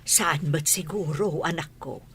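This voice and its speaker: A woman's voice: deep voice, unhurried, fairly bright tone, breathy edge, heavily acted. A woman playing a plaintive, ailing elderly wife and mother in an Ilocano radio comedy drama. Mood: coaxing, motherly.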